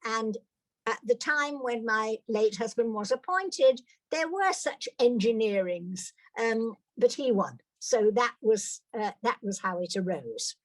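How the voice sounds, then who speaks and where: Older british lady giving a talk, a bit high, lots of ums and restarts, dry close sound. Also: animated, measured pace, no echo. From Britain. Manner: hesitant, many fillers and restarts. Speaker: guest speaker. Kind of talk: personal talk to an audience.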